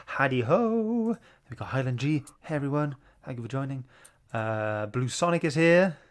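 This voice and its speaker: A man's voice: medium pitch, expressive, moderate pace. A man hosting a book club livestream, chatting informally and greeting viewers. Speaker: host.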